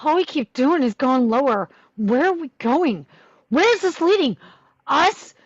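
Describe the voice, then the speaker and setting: A woman at a film-script table read playing a panicky, claustrophobic teenage boy who complains and frantically shouts. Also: high voice, lively intonation, a little slow, dry recording, muffled recording. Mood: anxious complaining.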